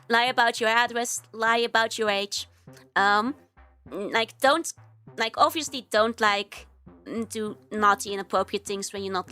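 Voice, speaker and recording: A woman's voice: a little high, pushed and bright. A young woman with a Dutch accent chatting casually on a livestream, giggly and rambling with lots of fillers. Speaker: VTuber streamer.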